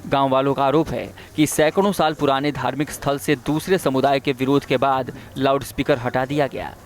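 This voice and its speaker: A man delivers a scripted television news voice-over. His voice sits at a medium pitch, and he narrates as a reporter presenting a packaged report. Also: fairly even intonation, moderate pace, slight background noise.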